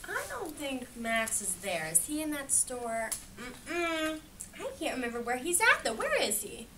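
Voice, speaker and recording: Female read-aloud educator for young kids: high, bright voice, questioning and affirming, picked up from a distance with some background noise. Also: sing-song, measured pace. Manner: asks questions, echoes and affirms children's answers. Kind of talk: interactive picture-book read-aloud to children.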